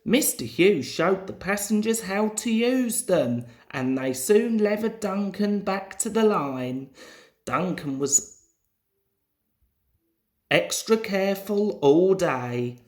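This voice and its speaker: A woman's voice: mid-range voice, animated, slow pace, a little mellow. A woman reading a children's story aloud as scripted narration in an echoey room. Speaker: storybook reader. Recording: roomy, echoing home recording.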